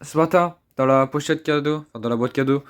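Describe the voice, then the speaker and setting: Young male gaming creator, congested from a cold; excitable, rambling commentary. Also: a little high, fairly quick, clear, resonant tone. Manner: excitable, chatty, repeats words, swears under breath. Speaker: mobile game YouTuber. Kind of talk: casual gameplay commentary.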